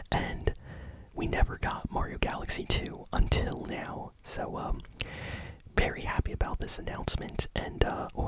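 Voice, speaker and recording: Whispering woman ASMR gaming news host. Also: rough recording. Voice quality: breathy unvoiced whisper.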